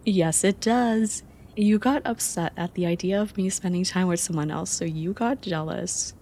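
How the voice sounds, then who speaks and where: A young woman playing an affectionate, teasing friend in an intimate ASMR roleplay, speaking softly and expressively. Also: on the low side, normal speed, a little hiss or hum, natural voice. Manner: soft, playful, expressive.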